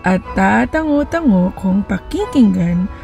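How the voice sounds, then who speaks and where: A woman's voice: mid-range voice, animated, measured pace, creaky, darkish tone, faint music behind. A woman with a Filipino accent reading a children's storybook aloud as the storyteller.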